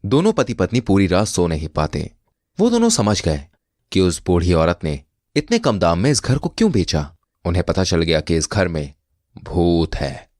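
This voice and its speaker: A man narrating a scripted comic moral tale as an expressive storyteller. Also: deep voice, moderate pace, dry recording, clean studio sound.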